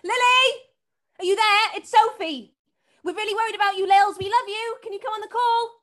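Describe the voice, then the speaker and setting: An actress plays a determined British woman in a stage drama, worried for her sister. Her voice sits high. She presses insistently and has a scornful edge. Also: a little fast, a bit bright. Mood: anxious, pleading. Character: worried, determined sister confronting a drug dealer. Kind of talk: acted scene from a drama showcase.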